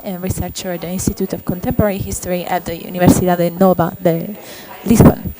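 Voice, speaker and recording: A woman, moderating a seminar and briskly reading out a speaker's biography in English, stumbling now and then on names. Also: medium pitch, noisy background. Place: lecture hall with an audience.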